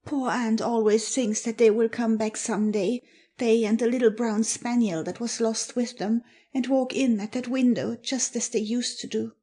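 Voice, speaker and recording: A self-possessed young girl, voiced by a woman, confides an eerie story in a dramatic audiobook. Her voice sits slightly high, soft and mellow, with a husky breath to it. She is hushed and composed. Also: fairly even intonation, normal speed. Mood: wistful, eerie.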